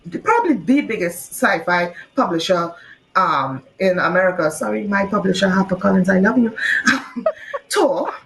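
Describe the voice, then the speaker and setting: A woman from Trinidad and Tobago, an author talking casually in an interview, lively and laughing often, with many "you know"s. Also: mid-range voice, normal speed, creaky, a little hiss or hum, rough recording. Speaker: author guest.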